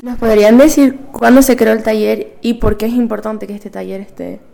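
Gravelly-voiced young interviewer. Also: female voice, fairly high pitch, fairly even intonation, moderate pace, mellow. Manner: reads questions formally. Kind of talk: radio-style interview questions.

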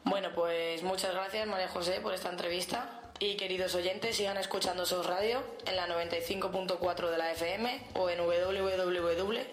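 A woman who hosts a radio interview and asks brisk, formal questions. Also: lowish voice, fairly even intonation. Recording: echoey room.

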